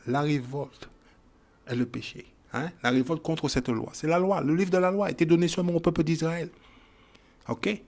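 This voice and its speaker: A man teaching a Bible sermon, reading verses and repeating key phrases with emphasis, often checking in with "ok?" and "hein?". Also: high-pitched. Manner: shifts from quiet verse reading to loud exhortation. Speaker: preacher.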